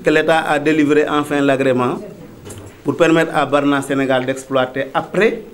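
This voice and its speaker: A man from Senegal with a Senegalese accent speaking as spokesman for a local collective, measured, with hesitant fillers. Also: on the high side, steady tone. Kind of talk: press statement.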